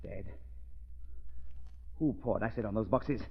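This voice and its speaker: An actor playing a deluded, vengeful villain in a 1930s radio drama, ranting and shouting. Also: male voice, fairly low pitch, measured pace, creaky, breathy edge, full character voice. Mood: raging. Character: deluded, vengeful man who thinks himself a reborn duke. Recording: dull old radio recording.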